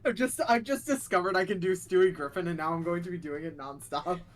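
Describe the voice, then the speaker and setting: A man's voice: sits high, faint music behind. Guy co-streaming, casual chatty, jokes at himself a lot. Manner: quick, self-deprecating jokes. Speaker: co-player. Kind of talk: casual live-stream chat.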